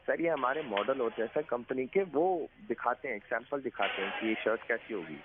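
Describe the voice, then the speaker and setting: A man's voice: mid-range voice, expressive, fairly quick. An Indian man working as a customer service agent, speaking politely, patiently and deferentially on a call. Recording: thin phone line, clear static and clicks.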